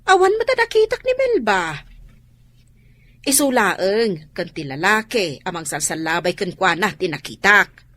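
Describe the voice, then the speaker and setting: A tattling Filipino village woman in a radio drama. She speaks at a medium pitch, leaning on her words emphatically as she confides her gossip. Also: sing-song, unhurried, bright, forceful tone, no echo, heavily acted. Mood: gossipy.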